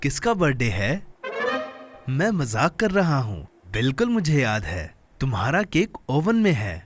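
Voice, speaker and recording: A man's voice: medium pitch, sing-song, measured pace, soft background music. A man voicing a friendly, helpful cartoon companion in a children's show, playful and encouraging. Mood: encouraging, teasing.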